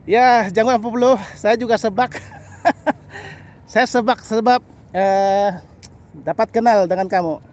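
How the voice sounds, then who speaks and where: A middle-aged man from Sabah with a Sabahan Malay accent, chatting casually to camera in a halting, repetitive way with little filler tags. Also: sits high, fairly even intonation, a little mellow, muffled recording. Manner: halting, repeats phrases, frequent "ah" and "lah" tags. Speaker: birding vlogger. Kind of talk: casual vlog chat to camera. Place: outdoors on a grassy path by a canal. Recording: outdoor background noise.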